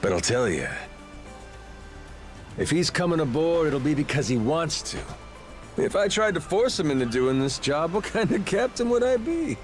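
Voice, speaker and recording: A confident pirate captain for a video game, pitched a bit high for a man. He is casual, cocky and persuasive, his voice moving up and down a lot over music. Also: sing-song, moderate pace. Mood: resolute, reassuring. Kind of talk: dramatic video game voice acting.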